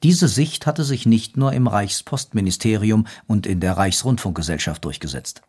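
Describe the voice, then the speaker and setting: Male documentary narrator, low register, scripted historical feature read. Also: moderate pace, a little mellow, husky.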